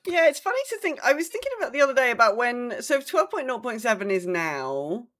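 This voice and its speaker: A woman's voice: a little high, big pitch swings, fast, a bit bright. A woman with a British accent talking casually as co-host of a gaming podcast.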